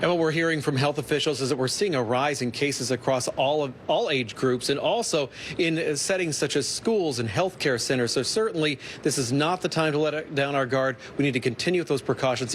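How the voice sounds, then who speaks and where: A man's voice: medium pitch, slight background noise. A man giving a live news report.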